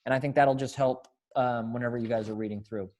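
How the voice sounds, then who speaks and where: A man's voice: medium pitch, fairly even intonation, fast talker, darkish tone. A young man directing a readers theater group over a video call in a casual, encouraging way. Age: young adult. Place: indoor room by window, video call.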